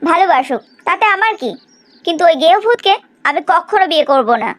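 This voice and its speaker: Woman acting brash, high-voiced young cartoon heroine. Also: expressive, fairly quick. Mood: disdainful, protective. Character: blunt, disdainful young woman, fiercely loyal friend. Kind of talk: Bengali cartoon drama acting.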